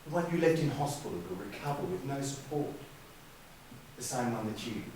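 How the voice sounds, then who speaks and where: A man's voice: mid-range voice, darkish tone, slight background noise, lightly acted. Man acting sardonic brother, distant and very echoey. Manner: sardonic, indignant, articulate. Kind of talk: stage play acting. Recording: very echoey hall, distant mic, faint background ambience.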